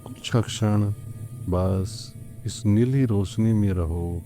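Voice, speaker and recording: A man guides a meditation in a low, very dark and soft-edged voice. He speaks very slowly and calmly, placing long pauses between gentle instructions. The delivery is scripted and soothing throughout. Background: background music.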